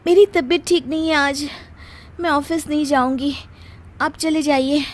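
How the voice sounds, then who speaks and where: A high, sharp female voice put on by a storyteller for a modern city daughter-in-law. She is curt and bossy, speaking in emphatic exclamations as in a domestic audio storybook. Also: steady tone, a little slow. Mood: unwell.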